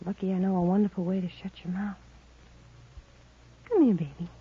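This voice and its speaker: An actress with an American accent playing a brazen, flirtatious band singer in a 1950s radio drama, teasing and slangy. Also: female voice, mid-range voice, fairly even intonation, brisk, husky. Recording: dull, lo-fi 1950s radio recording.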